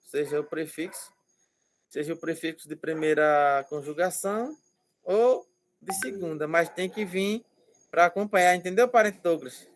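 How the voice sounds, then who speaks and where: A male language teacher explaining slowly and patiently over a video call, repeating words and checking that students follow. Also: a little high, slight background noise. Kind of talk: online class explanation.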